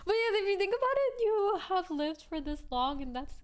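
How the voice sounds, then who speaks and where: A woman's voice: very high voice, very animated, moderate pace. A woman in her twenties hosting a livestream, chatting casually with viewers in a rambling way full of "like". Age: 20s. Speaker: livestream host.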